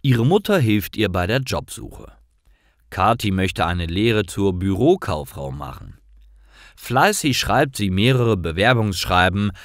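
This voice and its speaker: A man reading scripted stories for language learners as narrator, in simple, clearly phrased storytelling. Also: on the low side, dry recording, clean studio sound.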